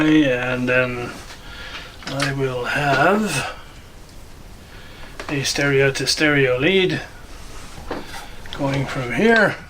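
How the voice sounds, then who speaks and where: A man's voice: mid-range voice, fairly bright tone, a little hiss or hum. A man giving a casual, unscripted walkthrough of a hobby project, hesitating as he thinks aloud. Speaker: hobbyist video host.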